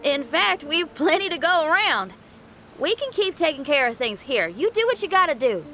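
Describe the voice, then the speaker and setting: A woman's voice: high voice, big pitch swings, moderate pace, sharp tone, soft background music, heavily acted. A woman playing a plucky, loyal young rock musician in a video game, with an earnest, spirited manner. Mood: upbeat, determined.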